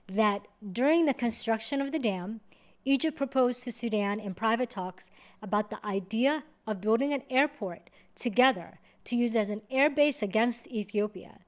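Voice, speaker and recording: A woman from Somalia, hosting a video and answering viewer questions in a measured, step-by-step way. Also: on the high side. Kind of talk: explanatory talk to camera.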